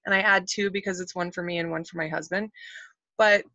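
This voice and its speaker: A woman's voice: fairly low pitch, fairly even intonation, fairly quick, sharp tone, dry recording. A woman in her forties chatting with enthusiasm on a video call from her kitchen, with plenty of ums. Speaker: host. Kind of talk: casual chat. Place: home kitchen at night. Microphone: webcam on a video call. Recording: muffled webcam audio.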